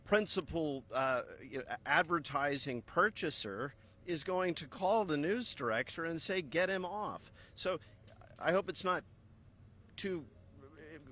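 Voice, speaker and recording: A man answering interview questions in a forceful, insistent way, pressing each point hard. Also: fairly high pitch, expressive, a little slow. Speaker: interview guest.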